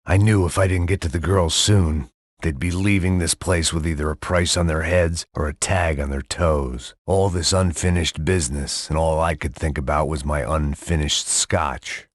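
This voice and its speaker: An actor playing a middle-aged, hard-drinking ex-cop in a noir video game, with a deadpan, sardonic, self-loathing manner. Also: male voice, a bass voice, no room sound, clean studio sound. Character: world-weary, hard-drinking male ex-cop turned failing bodyguard.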